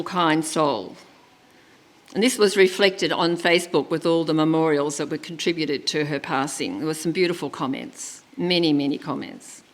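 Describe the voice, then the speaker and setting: A woman reading a eulogy at a church funeral service in a gentle, measured way, speaking into a lectern microphone. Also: on the low side. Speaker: funeral celebrant.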